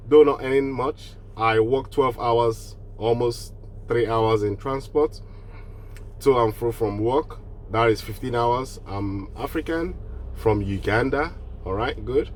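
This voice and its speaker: A man's voice: medium pitch, a little hiss or hum. A man from Nigeria with a cold, giving earnest, casual advice on online business straight to the camera and often restarting his phrases. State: has a cold.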